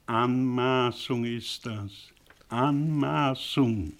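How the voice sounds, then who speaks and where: An actor in a German radio drama playing a cynical, agitated professor who declaims bitterly in a theatrical, rhetorical, halting way. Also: male voice, medium pitch. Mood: bitter, sardonic.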